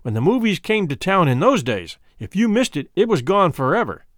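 A man's voice: high-pitched, big pitch swings, fairly quick, no echo, clean studio sound. A man hosting, reading a scripted introduction. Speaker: host.

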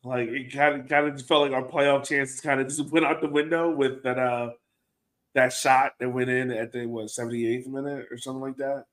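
A man's voice: mid-range voice, level tone, fairly quick, a bit bright. A man co-hosting a sports fan podcast, talking casually and informally with a resigned manner. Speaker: co-host of a soccer fan podcast.

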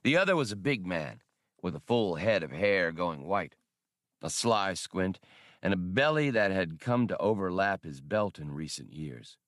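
A man's voice: low-pitched, big pitch swings, a little slow, fairly bright tone, no room sound, clean studio sound. A man reading adventure fiction aloud as a scripted audiobook narration.

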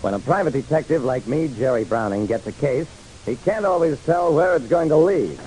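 A man's voice: medium pitch, expressive, normal speed, mellow, lightly acted, low-quality recording. An actor playing a hard-boiled private detective narrating his casebook in a wry, dramatic, punchy radio style. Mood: wry, matter-of-fact. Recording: hissy old radio recording.

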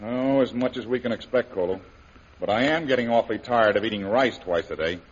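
An actor playing a steady, good-natured adventurer, plain-spoken and folksy, in 1930s radio serial style. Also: male voice, medium pitch, brisk, breathy edge, natural voice. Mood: resigned, good-natured. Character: steady, good-natured adventurer hero, male, hopeful captive. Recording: muffled 1930s radio recording.

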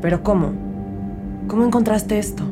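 A woman's voice: medium pitch, moderate pace, music in the background, close to an everyday voice. A woman playing an earnest rookie detective who narrates her case in a scripted audio drama. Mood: surprised, curious. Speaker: narrator and lead character.

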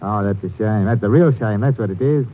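A man's voice: fairly low pitch, a little fast, natural voice. A man playing a seamy, sneering small-time crook with a mock-genial manner in a 1950s radio drama. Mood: gloating, mock-genial. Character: seamy, gloating little male small-time crook with gun. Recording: tinny, slightly noisy old radio recording.

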